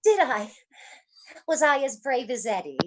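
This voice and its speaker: Woman playing a fluttery, high-voiced naive mother. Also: big pitch swings, measured pace. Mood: shaken, tearful. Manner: fluttery, genteel, chatty. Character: sweet, naive, fluttery old-fashioned mother of a policeman. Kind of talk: old-time radio drama acting.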